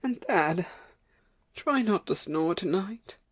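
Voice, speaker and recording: A woman's voice: fairly low pitch, animated, slow, husky, full character voice. A woman playing an overworked, nervous, complaining farm wife in an amateur audio play, with folksy rustic speech. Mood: nervous, nagging. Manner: folksy rustic dialect, fretful.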